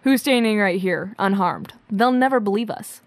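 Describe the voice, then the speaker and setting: A woman's voice: medium pitch, normal speed, no echo, lightly acted. Bossy, defiant schoolgirl superhero played by a woman. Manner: commanding, blunt.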